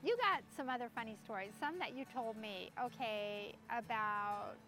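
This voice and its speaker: A woman with a high voice hosts a relaxed interview, effusive and eager as she draws stories out of her guests. Music plays clearly beneath her. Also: normal speed. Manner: warm, effusive, prompting guests. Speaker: host. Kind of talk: casual interview.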